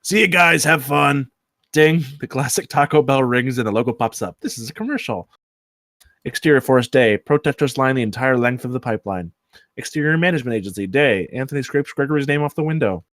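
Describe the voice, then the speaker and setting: A man's voice: mid-range voice, animated, quick pace, no echo, clean studio sound. A man reading out stage directions as narrator at a screenplay table read.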